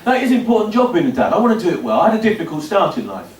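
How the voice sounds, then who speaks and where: Fifties male comic, slightly high voice, dry self-mocking delivery, live club sound, roomy and off-mic. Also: wildly swooping pitch, very fast, slight background noise. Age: 50s. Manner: dry, self-deprecating storytelling with punchy asides. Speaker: stand-up comedian. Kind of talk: stand-up comedy set. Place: comedy club stage before a laughing audience. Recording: roomy live venue recording from a distance.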